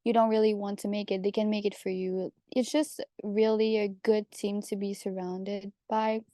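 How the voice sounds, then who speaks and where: A young woman from Quebec whose first language is French, speaking English with a Quebec French accent in a chatty, relaxed way as a student panelist. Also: mid-range voice, normal speed, no echo, clean studio sound. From Quebec, Canada. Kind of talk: casual panel talk.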